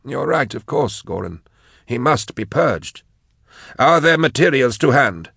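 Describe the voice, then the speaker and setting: A man's voice: mid-range voice, very animated, unhurried, vocal fry, a bit bright, slightly rough, dry recording, clean studio sound, voice pushed up, exaggerated voice. A man voicing a weary, vengeful male sorcerer locked in a cage, intense and lamenting in an audiobook. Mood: cold resolve. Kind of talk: audiobook character voice.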